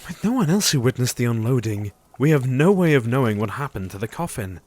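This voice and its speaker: A man's voice: medium pitch, big pitch swings, fairly quick, darkish tone. An actor playing a loyal, vengeful male hunter who speaks solemnly in an audio drama. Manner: solemn and oath-swearing.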